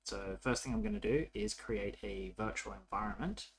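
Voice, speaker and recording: A man's voice: on the low side, normal speed, gravelly, a little hiss or hum. A man narrating a casual coding tutorial as an instructor, informal and thinking aloud as he works.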